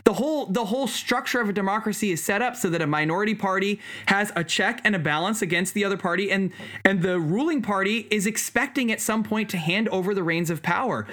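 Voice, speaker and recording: A woman co-hosting a podcast, chatting casually about politics in an animated, conversational way. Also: mid-range voice, fast. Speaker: podcast co-host. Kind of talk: casual chat, political commentary.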